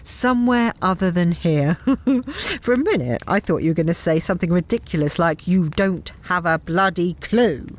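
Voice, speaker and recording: A woman's voice: on the low side, expressive, moderate pace, close to an everyday voice. A woman playing a tough, exasperated captain in a sci-fi audio drama, sarcastic and emphatic. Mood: sarcastic, incredulous. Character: tough, sweary, exasperated spaceship captain heroine.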